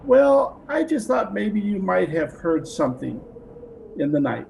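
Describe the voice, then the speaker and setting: A man's voice: high-pitched, sing-song, a little slow, vocal fry, a little mellow, exaggerated voice. A man playing a polite police detective who questions with hesitant, feigned casualness in an old-time radio mystery. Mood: probing, suspicious. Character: polite but probing male police detective, casually suspicious. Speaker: actor in an online radio play performance. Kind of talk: 1940s radio mystery acting. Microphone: video call. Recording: noisy home video-call recording.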